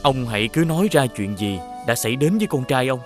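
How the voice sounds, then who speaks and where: A man playing a benevolent, noble holy healer in an animated fairy tale, speaking solemnly and formally with authority. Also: mid-range voice, fast, soft background music, lightly acted. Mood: calm, kindly authority. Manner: solemn, authoritative, formal old-fashioned speech.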